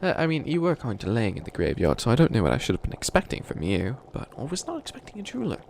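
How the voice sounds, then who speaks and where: Bumbling evil bunny witch , male performer, comedic ASMR: theatrical, rambling schemer, gravelly creak, slight noise behind. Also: mid-range voice, wildly swooping pitch, fast, darkish tone. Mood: flustered delight. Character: bumbling, dramatic bunny witch who thinks himself evil.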